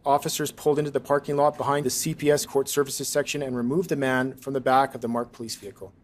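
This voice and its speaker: A man's voice: medium pitch, flat delivery, fast talker. Formal man reading an official statement. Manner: official, impersonal. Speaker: police spokesman.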